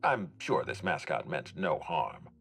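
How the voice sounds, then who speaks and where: An actor playing a formal, guarded executive in a video game, speaking in a measured, courteous and dryly stern way. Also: male voice, a bass voice, big pitch swings, fairly bright tone, breathy edge, full character voice. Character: formal, guarded male executive overseeing hotel and orchards. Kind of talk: dramatic video game dialogue.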